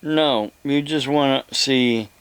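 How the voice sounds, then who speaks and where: An older man with an American accent delivers a rambling monologue, halting and deliberate, often repeating his phrases. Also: medium pitch, fairly bright tone, smooth, dry recording. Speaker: evangelist vlogger.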